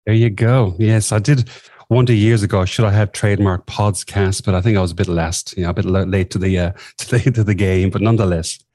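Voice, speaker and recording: Irish male podcast guest, fifties, low and mellow voice, wry conversational storyteller. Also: fairly even intonation, fairly quick, no echo. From southwest of Ireland. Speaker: podcast guest, former nurse and coach.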